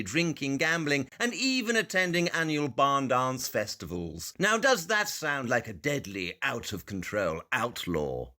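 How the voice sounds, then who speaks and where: A sharp clever detective, bright voice, lively up and down, lecturing. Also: male voice, on the high side. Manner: precise, deductive, lecturing. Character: brilliant, eccentric male detective.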